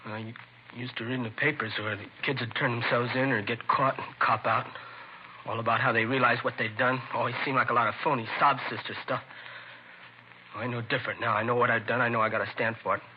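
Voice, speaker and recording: An actor playing a remorseful, streetwise teenage addict confessing in slangy, halting phrases in a 1950s radio crime drama, on a muffled, hissy recording. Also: male voice, mid-range voice, fairly even intonation, close to an everyday voice. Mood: contrite.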